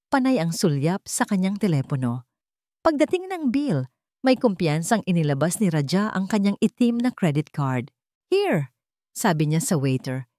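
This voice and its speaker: A woman telling a scripted story in steady, measured narration. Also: fairly low pitch, dry recording, studio quality.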